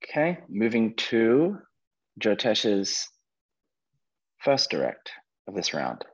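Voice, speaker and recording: A man's voice: lowish voice, huge pitch swings, takes it slowly, slightly rough. A man hosting a quiz, reading questions out to contestants and responding to their answers in an encouraging way. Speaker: quiz host. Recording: dull lo-fi online call audio.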